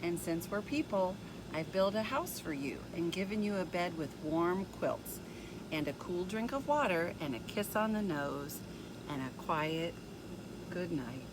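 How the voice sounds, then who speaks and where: Expressive woman reading a children's story, lively pitch. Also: background noise. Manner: deliberate, expressive, addressing young listeners directly. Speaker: children's story time host reading a picture book. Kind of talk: read-aloud storytelling for young children.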